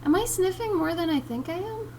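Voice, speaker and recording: Raspy-voiced woman chatting with her stream. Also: very high voice, fairly quick, far from the mic. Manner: chill, relaxed, sniffing often. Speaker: livestreamer. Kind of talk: casual chat with stream viewers while drawing. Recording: steady background noise.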